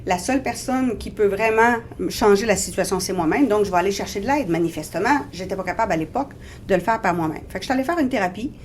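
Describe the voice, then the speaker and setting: A woman's voice: mid-range voice, animated, quick pace. A woman in her forties with a Québécois French accent speaks as a radio guest, telling her story earnestly and reflectively. Her voice sits mid-range, with a faint hiss behind it. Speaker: guest author. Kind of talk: casual radio interview.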